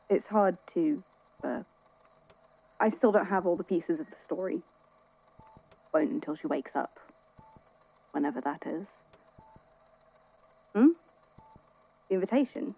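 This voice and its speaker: A caring, tired friend acted by a woman speaks in a lowish voice with hesitant, wry, conversational delivery. It is naturalistic audio drama, recorded a little muffled. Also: slow pace, creaky, long pauses, exaggerated voice. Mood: awkward, subdued. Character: worried, weary, caring friend at a hospital bedside.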